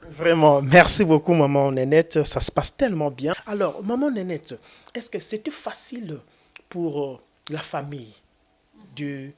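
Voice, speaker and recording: A man's voice: on the high side, expressive, measured pace, a little mellow. A man from Congo interviewing a gospel singer, asking long, courteous questions full of hesitations. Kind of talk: formal interview.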